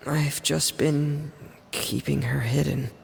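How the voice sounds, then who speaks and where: A quiet, earnest young man. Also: fairly high pitch, fairly even intonation, slow, gravelly, slightly rough, full character voice. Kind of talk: video game voice acting. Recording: game audio with music.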